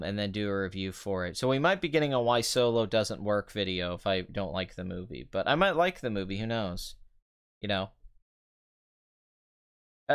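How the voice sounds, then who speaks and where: A young man talks into a compressed desk mic at home, his stuffy, nasal voice still smooth and fairly low. He rambles casually with fillers and restarts, and a slight tremble runs through it. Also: a bit bright. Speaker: movie reviewer live-streaming. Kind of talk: casual live-stream chat.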